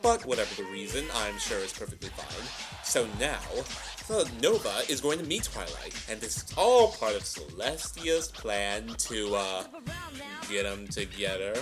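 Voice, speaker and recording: A man's voice: on the low side, big pitch swings. Playful man hamming up reaction commentary. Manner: playful, hammy drawn-out asides. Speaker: reaction video host. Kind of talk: casual comedic reaction commentary. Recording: music playing behind the voice. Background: music and audio drama playback.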